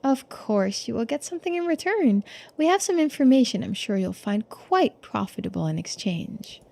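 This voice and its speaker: A woman voicing a poised, unflappable female investigator in a video game, polite and gently needling in measured, formal phrases. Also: fairly high pitch, big pitch swings, gravelly, heavily acted. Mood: businesslike. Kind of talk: game character voiced in a playthrough.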